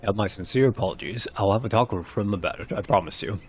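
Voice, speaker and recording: A man's voice: lowish voice, quick pace, soft background music, natural voice. A man voicing a polite, apologetic shrine keeper in narrated fantasy game dialogue, speaking formally and a little hesitantly. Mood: regretful. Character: courteous, apologetic male mask keeper at a forest shrine.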